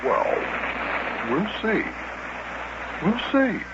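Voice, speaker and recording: A man's voice: high-pitched, very animated, very slow, noisy background, heavily acted. A man playing a folksy, teasing, chuckling companion to a boy in 1950s children's radio style. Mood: amused, teasing. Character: good-natured, teasing grown man, a boy's companion. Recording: muffled old radio recording with music.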